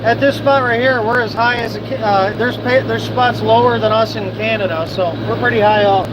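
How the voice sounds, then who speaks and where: Blunt farmer over loud engine noise. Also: male voice, high voice, steady tone, moderate pace. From Michigan. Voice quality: blunt, swears casually. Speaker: farmer livestreaming from the field. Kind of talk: casual live chat with viewers. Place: outdoors on a farm, near running machinery. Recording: loud machinery noise behind voice.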